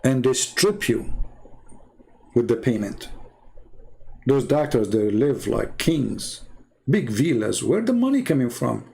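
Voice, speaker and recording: Gravelly man, rambling and scornful. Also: mid-range voice, very animated, takes it slowly, long pauses, dark tone. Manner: rambling, scornful, repeats himself. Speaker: online commentator. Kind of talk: casual monologue.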